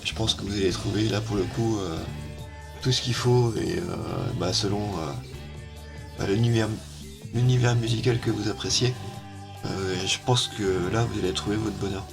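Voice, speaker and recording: A man walking through a software tutorial at his computer, hesitant and full of filler sounds, on an amateur setup. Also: on the low side, moderate pace, creaky, music in the background. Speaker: tech YouTuber. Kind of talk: casual screen-recorded software tutorial.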